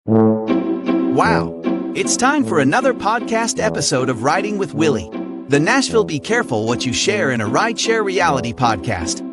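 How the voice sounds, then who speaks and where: A man's voice: on the high side, sing-song, normal speed. A man reading a scripted, upbeat podcast intro as announcer. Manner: upbeat, showy announcer delivery. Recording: voice-over over loud music. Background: loud music.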